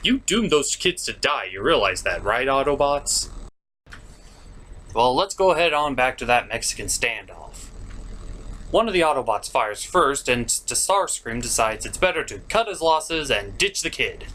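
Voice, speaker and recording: A man delivers a scripted, sarcastic review. His voice moves around a lot in pitch as he mocks what he describes, and some noise sits behind him. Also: big pitch swings, a little slow, pushed and bright. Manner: sarcastic, mocking, profane. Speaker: cartoon reviewer, host.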